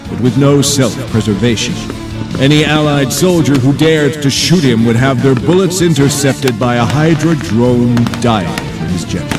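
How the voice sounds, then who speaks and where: A man narrating a scripted audio drama in a dramatic, deliberate storytelling manner. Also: mid-range voice.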